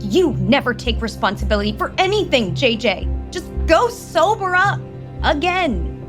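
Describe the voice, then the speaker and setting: A polished, exasperated corporate woman in an audio soap opera. Her pitch moves widely as she asserts herself with a sharp tongue. Music is clearly heard behind her. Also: big pitch swings, normal speed. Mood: exasperated, angry.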